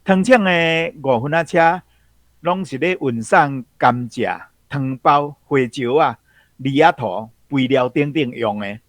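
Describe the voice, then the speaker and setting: A man's voice: medium pitch, a little slow, no echo. A man with a Taiwanese accent talking informally as he explains something.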